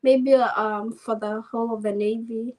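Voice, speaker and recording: Young Kenyan woman, soft voice, relaxed interview guest, light and a bit high. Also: unhurried. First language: Swahili. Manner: soft-spoken, mixes Swahili and English, laughs often. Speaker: interview guest, navy sailor. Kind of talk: casual interview chat.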